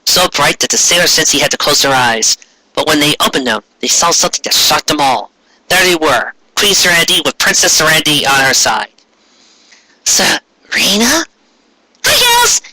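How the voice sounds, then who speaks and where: A man's voice: a little high, expressive, brisk, bright, forceful tone, rough recording. A man reading fan fiction aloud as comic, dramatic narration in a theatrical manner. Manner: theatrical, performs many character voices.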